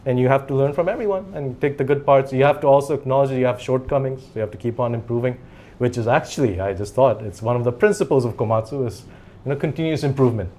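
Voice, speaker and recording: A man's voice: mid-range voice, fast, a little mellow. Chatty man in his forties, native Japanese speaker. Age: 40s. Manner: chatty storyteller, laughs between phrases, rambling. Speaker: company CEO, podcast guest. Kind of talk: casual interview conversation.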